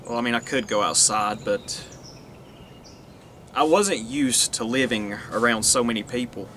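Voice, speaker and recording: A man's voice: medium pitch, measured pace, bright, forceful tone, slight background noise. A man from Northeast Tennessee, talking earnestly in a rambling monologue that restarts and trails off. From Northeast Tennessee, USA. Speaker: vlogger. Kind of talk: casual monologue to camera.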